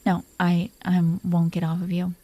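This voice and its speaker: A woman playing an affectionate, possessive dragon queen in an intimate romantic roleplay audio, speaking softly and haltingly with a playful, teasing manner. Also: fairly low pitch, completely flat delivery, dry recording. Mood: possessive, softly menacing.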